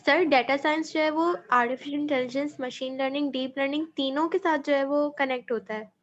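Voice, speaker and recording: A woman's voice: high voice, steady tone, brisk. A female student putting a question to her teacher in class, on dull, noisy audio. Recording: dull lo-fi audio with background noise.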